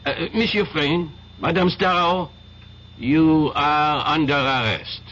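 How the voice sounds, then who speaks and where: French police inspector, polite, methodical, deliberate, faint hiss. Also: male voice, fairly high pitch, fairly bright tone. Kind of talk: 1970s radio drama acting. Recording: dull lo-fi old radio broadcast with faint hiss.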